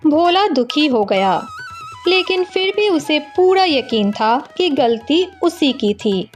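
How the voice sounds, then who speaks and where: A woman narrating a scripted village story as a storyteller. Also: sits high.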